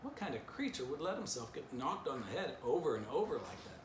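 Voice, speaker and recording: A man's voice: medium pitch, sing-song, a little fast, close to an everyday voice. A man voicing a wary, incredulous dog in a storybook reading, doubtful and given to exclaiming. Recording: roomy echo, clear background noise.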